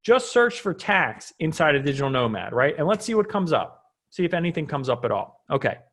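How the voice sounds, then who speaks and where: A man with a high-pitched voice walks through an on-screen tutorial in a casual, unscripted way. He talks like a coach, conversational and often checking in with short tag questions. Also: big pitch swings, fairly quick, fairly bright tone. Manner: conversational, frequently checking in with "right?". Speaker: online business coach presenting a tutorial.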